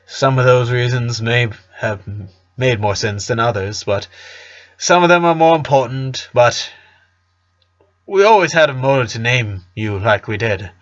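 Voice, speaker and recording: A man's voice: medium pitch, a little slow, lightly acted. Man playing an easygoing storybook dad, lo-fi. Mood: easygoing, reminiscing. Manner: chatty, explanatory, teasing. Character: easygoing, joking middle-aged father in a storybook.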